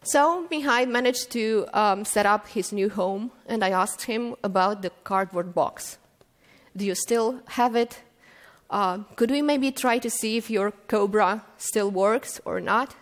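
A woman in her thirties speaks into a stand mic on a conference stage, her voice carrying a long reverb through the hall. She tells her stories in a relaxed way, with frequent ums and small restarts. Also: medium pitch, measured pace. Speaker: keynote speaker. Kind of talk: conference talk. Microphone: stand mic at lectern.

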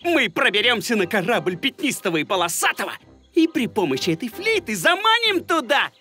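Scheming bossy bird captain, pompous, high-pitched, wide swings, cartoon villain, music behind. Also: female voice, sing-song, brisk, bright, forceful tone. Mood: gloating. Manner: commanding, pompous. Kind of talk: children's cartoon acting.

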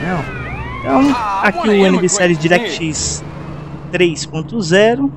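A man's voice: high voice, lively intonation, unhurried. A man with a Brazilian accent giving casual commentary as host of a gaming video, with game noise behind him. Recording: noisy, game traffic behind voice. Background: game sounds, cars and sirens.

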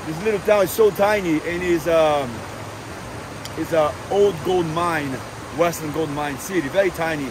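A man narrating a travel vlog in a casual, rambling, informal way. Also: on the high side. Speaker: travel vlogger. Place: outdoors at roadside scenic stops. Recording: windy, noisy outdoor recording.

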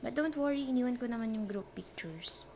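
A woman's voice: fairly high pitch, expressive, brisk, a little mellow. A woman playing a mildly jealous, playful young girlfriend with a Filipino accent in an intimate, teasing roleplay audio. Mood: possessive, affectionate. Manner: teasing, mock-threatening, sarcastic, switching between Tagalog and English. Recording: noisy track with cricket and insect sounds.

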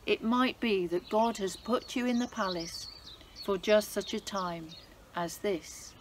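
A man's voice: high voice, measured pace, slight background noise. Woman doing an urgent, earnest old uncle. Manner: pleading, insistent. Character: urgent older male uncle, earnest and devout.

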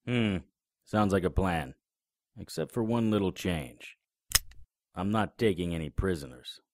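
An actor in an audio drama playing a cynical, sardonic, hard-boiled private investigator with a terse delivery. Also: male voice, sits low, animated, measured pace, no room sound, clean studio sound. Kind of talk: audio drama acting with noir narration.

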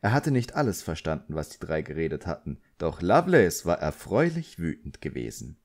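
A man's voice: sits low, big pitch swings, moderate pace, darkish tone. A man reading a novel as scripted audiobook narration.

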